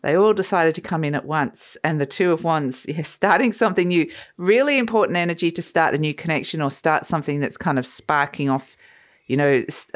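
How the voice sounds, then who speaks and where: A woman giving a casual, musing tarot reading, her voice a little croaky from a cold, often trailing off and restarting. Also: sits low. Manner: warm, musing, trails off and restarts, light laughs. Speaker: tarot reader. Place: sunny room by a window, indoors.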